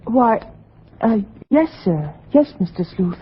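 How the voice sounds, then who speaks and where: An actress playing a chirpy, outspoken, naive working-class young daughter in a 1940s radio drama, chatty and plain-spoken. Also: female voice, a little high, sing-song, slow pace. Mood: uneasy. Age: young adult. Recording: muffled, noisy 1940s radio recording.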